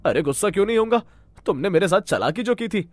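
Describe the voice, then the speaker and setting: A man's voice: high voice, expressive, fast, a little mellow, no echo. A man voicing a stubborn, brash village freeloader in a cartoon story, arguing in exclamations. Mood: indignant. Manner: argumentative, exclamatory.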